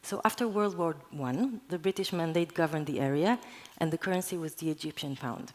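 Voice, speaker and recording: A woman's voice: deep voice, fairly quick. A woman whose first language is Hebrew, giving a conference talk in an earnest, explanatory manner. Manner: earnest, explanatory, with occasional restarts. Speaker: conference speaker. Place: conference hall.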